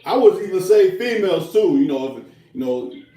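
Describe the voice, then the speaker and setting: A man hosting a casual live call-in chat, halting with many fillers and restarts. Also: high voice, lively intonation, mellow. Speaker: host of a live stream. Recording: roomy, distant mic.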